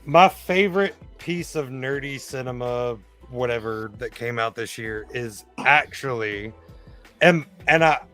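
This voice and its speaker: A man's voice: mid-range voice, unhurried, pushed and bright, faint music behind. A man chatting casually and enthusiastically about films on a live stream, speaking emphatically.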